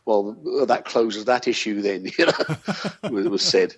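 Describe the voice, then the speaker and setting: Low-voiced man, wry and discursive. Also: animated, takes it slowly. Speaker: interviewed UFO sceptic. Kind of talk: casual interview chat.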